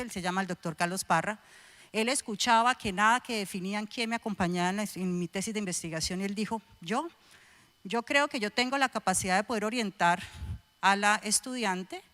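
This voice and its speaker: Guest church speaker: middle-aged Colombian woman, medium-pitched voice, emphatic and deliberate testimony delivery. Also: fairly bright tone. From Cúcuta, Colombia. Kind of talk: personal testimony speech to a congregation.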